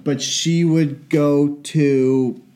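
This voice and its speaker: Joking young man, fairly high voice. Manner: animated, joking, quick to laugh. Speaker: podcast co-host. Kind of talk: casual chat.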